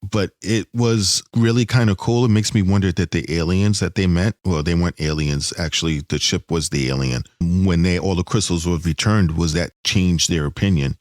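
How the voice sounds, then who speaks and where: Deep-voiced man, casual and dry. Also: normal speed, hardly any pauses, no room sound. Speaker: podcast co-host. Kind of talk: casual fan discussion of a TV episode.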